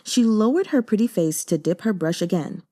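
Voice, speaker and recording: Female audiobook narrator, mid pitch, mellow, measured delivery. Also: lively intonation. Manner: measured, deliberate storytelling. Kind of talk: scripted narration of a novel translation.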